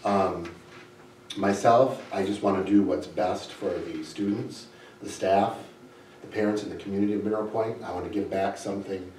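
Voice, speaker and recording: A middle-aged man with a low voice makes a plain, declarative statement. His intonation stays fairly flat. He is picked up from a distance in a roomy space. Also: moderate pace. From United States, Wisconsin. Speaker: school board candidate, career educator. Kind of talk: short candidate statement. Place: indoor public board meeting, far microphone. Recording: echoey room, distant microphone, faint noise.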